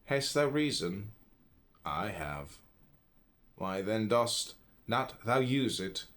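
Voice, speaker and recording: A man from the United States reads philosophical writing aloud. His voice sits a little low. He delivers it deliberately, one phrase at a time, leaving pauses between them. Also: sing-song, fairly bright tone. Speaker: audiobook reader. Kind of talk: measured reading aloud of philosophical text.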